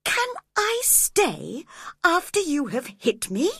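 A woman voicing a courteous young gentleman with a gentle, hurt manner. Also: sits high, wildly swooping pitch, slow pace, dry recording, studio quality, pitched above the performer's own voice, full character voice. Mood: wounded.

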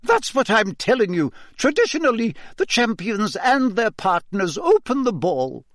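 A man's voice: sits high, sing-song, unhurried, a bit bright, dry recording, studio quality, pitched above the performer's own voice, full character voice. A man voicing a stern, strict older female teacher who speaks in a clipped, disapproving, firm way.

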